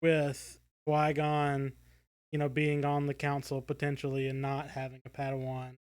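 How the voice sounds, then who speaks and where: A man's voice: medium pitch, monotone, moderate pace, a little mellow, clean tone. A man co-hosting a casual discussion podcast, speaking hesitantly as he thinks his points through aloud. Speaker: podcast co-host.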